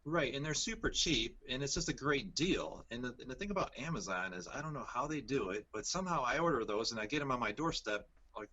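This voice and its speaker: A man's voice: medium pitch, lively intonation, a little fast, hardly any pauses, sharp tone, breathy edge, highs cut off. A man appearing as a guest over a video call, easygoing, filling his talk with "like" and "you know". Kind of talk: casual video-call chat.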